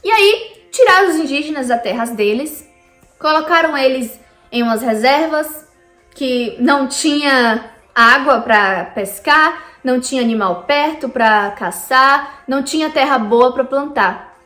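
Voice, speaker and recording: Brazilian woman chatting to her viewers, kinda high voice, echoey room w/ faint music. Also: animated, unhurried, fairly bright tone. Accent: Brazilian Portuguese. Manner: chatty, addresses viewers directly. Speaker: vlogger. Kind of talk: casual explainer vlog. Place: lives in Canada.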